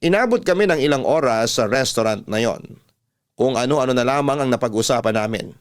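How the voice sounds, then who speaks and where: Mid-pitched Filipino man narrating a story. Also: animated, a little fast. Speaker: radio storyteller. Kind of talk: scripted first-person story narration, classic radio style.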